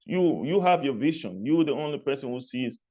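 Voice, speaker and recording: A man from Cameroon, answering interview questions in a relaxed way, repeating phrases and laughing lightly between points. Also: medium pitch, a little fast, dull audio. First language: not English. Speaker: guest, music artist and label executive.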